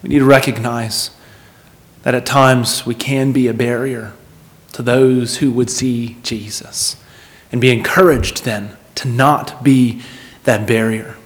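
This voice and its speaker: A man's voice: mid-range voice, fairly even intonation, slow pace. A man preaching a sermon in an earnest, emphatic manner, repeating phrases for weight and putting questions to his listeners.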